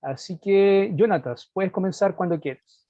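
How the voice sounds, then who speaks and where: A man's voice: high voice, measured pace, dry recording, muffled recording. A man hosting a university talk, welcoming students and introducing the guest speaker with many hesitations. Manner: hesitant, with frequent fillers and restarts. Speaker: course host introducing the guest. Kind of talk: formal introduction.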